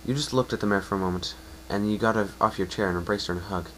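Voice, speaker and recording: A man's voice: fairly low pitch, poor audio. A man narrating a fan story as an amateur reader, often stumbling and correcting himself. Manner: halting, self-correcting delivery.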